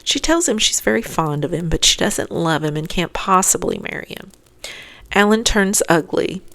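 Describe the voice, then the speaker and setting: A woman's voice: fairly low pitch, moderate pace, creaky, fairly bright tone. A woman narrating a scripted episode recap as host, adding chatty asides to listeners.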